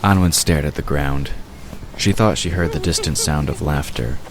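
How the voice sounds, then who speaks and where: A man's voice: very deep voice, moderate pace, over music. A man narrating a scripted audio drama as its storyteller.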